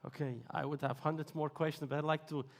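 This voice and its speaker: Gravelly-voiced man moderating a panel. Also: a little high, flat delivery, fast talker. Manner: searching, hesitant phrasing. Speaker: session moderator. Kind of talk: on-stage interview questions.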